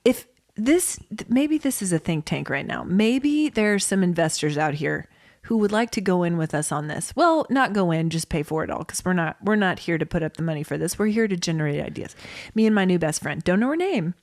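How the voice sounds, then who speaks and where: A woman's voice: fairly low pitch, lively intonation, a little fast, a little mellow, dry recording, studio quality. An American woman co-hosting a podcast, chatting casually and telling stories in a wry, mock-serious way. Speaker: podcast co-host. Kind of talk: casual chat and storytelling.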